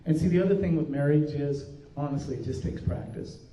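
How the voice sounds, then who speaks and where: A man's voice: a little high, a little fast, warm tone, slight background noise. Older man preaching folksy in a roomy hall. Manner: earnest, folksy preaching with restarts. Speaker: pastor. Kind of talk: conference workshop talk. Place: conference room. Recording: dull, roomy recording from a distance.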